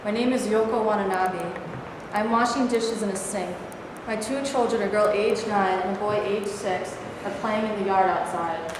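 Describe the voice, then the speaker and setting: A woman's voice: mid-range voice, moderate pace, natural voice. A woman playing an anguished, wounded Japanese mother, horrified and defiant, in a staged reading of a play. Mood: grave, pained. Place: reverberant indoor room at a staged reading. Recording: echoey hall with clear background noise.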